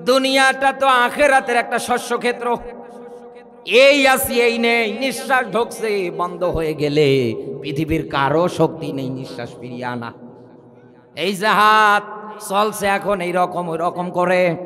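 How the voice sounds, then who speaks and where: A Bangladeshi man delivers an impassioned Islamic sermon in a high-pitched voice. He speaks as an orator, stacking repeated phrases and rhetorical questions and calling on listeners to answer. His words carry a long reverb, as if amplified in a large hall. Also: unhurried, bright, forceful tone. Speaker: Islamic preacher. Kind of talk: impassioned religious sermon to a gathering.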